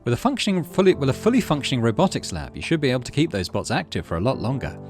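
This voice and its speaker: A man's voice: mid-range voice, wildly swooping pitch, rapid-fire, soft background music. A man giving casual let's play commentary, thinking aloud through his choices and reading out game text. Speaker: gaming video host.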